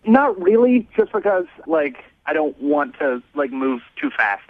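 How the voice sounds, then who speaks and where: A man's voice: a little high, big pitch swings, a little slow, crowd noise. Earnest man on a tinny phone line. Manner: earnest, serious. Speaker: caller on a radio show. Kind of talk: casual personal storytelling. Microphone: telephone call.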